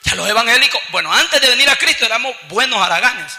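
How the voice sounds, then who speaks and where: A man's voice: very high-pitched, lively intonation, quick pace, sharp tone. A man from El Salvador with a Salvadoran accent, preaching a fervent sermon and often calling out to the congregation as brothers. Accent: Salvadoran Spanish. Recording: long reverb of a large hall.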